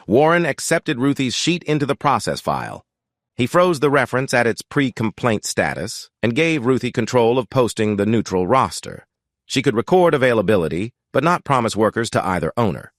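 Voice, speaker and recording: Guy reading a first person story, even and reflective, pitch moves around a lot. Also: moderate pace, no echo, clean studio sound. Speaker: narrator.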